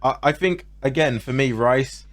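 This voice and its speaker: A man's voice: mid-range voice, moderate pace. A man speaking casually and giving firm opinions as a panelist on a football show.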